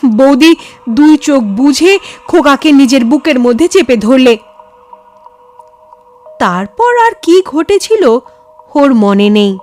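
High-pitched, expressive woman storyteller. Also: slow pace, long pauses, faint music behind. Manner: expressive, suspenseful. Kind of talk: dramatic ghost story narration.

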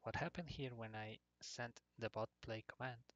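A man narrating an informal software tutorial in short, hesitant phrases. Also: mid-range voice, moderate pace, no room sound. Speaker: tutorial presenter. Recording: dull lo-fi computer microphone.

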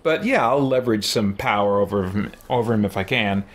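A man's voice: fairly low pitch, expressive, a little fast. A middle-aged man giving casual live gameplay commentary as a streamer, thinking aloud. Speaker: streamer, gaming host. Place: small indoor room with shelves.

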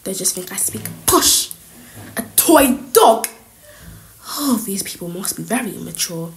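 A woman's voice: mid-range voice, animated, unhurried, natural voice. A woman voicing a scornful, snooping female schoolteacher in a school story, muttering to herself in disgust.

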